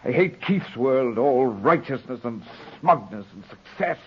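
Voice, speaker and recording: An actor playing a bitter, restless young man in a 1940s radio drama, husky-voiced, brooding and agitated. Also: mid-range voice, lively intonation, measured pace. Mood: bitter despair. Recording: muffled vintage radio recording.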